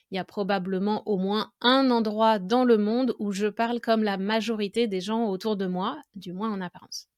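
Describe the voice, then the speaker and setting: A woman's voice: fairly high pitch, darkish tone, no room sound, clean studio sound. French woman hosting a reflective podcast. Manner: reflective, conversational, addresses listener directly. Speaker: podcast host and French teacher. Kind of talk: educational podcast monologue.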